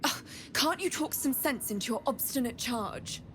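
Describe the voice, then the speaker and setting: A woman playing an eerie, imperious blood trader with morbid curiosity in a video game, speaking in a measured, silky, haughty way. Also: high voice, expressive, a bit bright, slight background noise. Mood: haughty, irritated. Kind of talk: dramatic video game acting.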